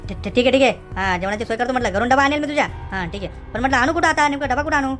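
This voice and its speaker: Cartoon errand man, woman actor: extremely quick, slightly high, impatient. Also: a bit bright. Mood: bargaining. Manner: impatient, repeats phrases. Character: hurried, money-minded male village go-between. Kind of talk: cartoon comedy dialogue. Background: faint music.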